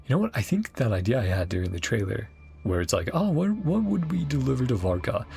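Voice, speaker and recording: Casual game-reaction streamer: mid-pitched, animated and gravelly, chatty and rambling, with game music faintly underneath. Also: fairly quick. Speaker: gaming streamer reacting to a story quest. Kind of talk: casual live game reaction commentary, partly reading dialogue aloud.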